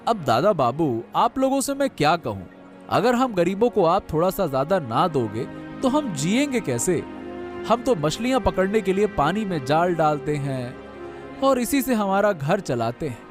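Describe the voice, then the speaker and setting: A man acts out a humble village fishmonger in a cartoon moral tale. His voice is high and very animated. He speaks with coaxing, pleading deference to his customers. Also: moderate pace, music in the background. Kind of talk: Hindi cartoon moral story dialogue.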